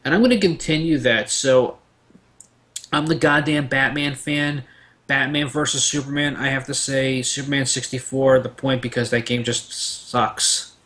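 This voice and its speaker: Blunt, irreverent man, average pitch. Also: fairly even intonation, normal speed, a bit bright. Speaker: debate panelist. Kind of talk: casual opinionated chat.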